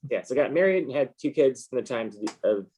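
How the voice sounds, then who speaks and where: A man's voice: medium pitch, expressive, fast talker, a little mellow, husky. A man chatting casually as an interview guest, in a rambling, understated, laid-back way. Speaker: interview guest, comic book artist. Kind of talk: casual chat.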